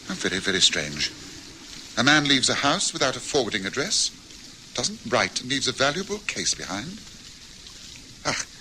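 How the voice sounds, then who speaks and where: An actor playing a confident, incisive detective in an old radio drama, measured and crisp. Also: male voice, medium pitch. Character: sharp, confident middle-aged detective. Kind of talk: 1960s radio drama acting. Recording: hissy old radio recording.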